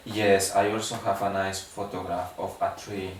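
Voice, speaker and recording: A man's voice: low-pitched, little pitch movement, normal speed, distant mic, slight background noise. Hesitant man, roomy sound. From Guatemala. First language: Spanish. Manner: hesitant, with many uh fillers and restarts. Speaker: exam candidate. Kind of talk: spoken English exam.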